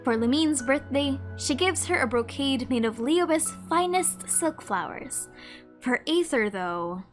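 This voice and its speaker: A woman's voice: high-pitched, lively intonation, a little slow, faint music behind. A woman hosting a video with casual scripted narration. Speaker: video creator, host.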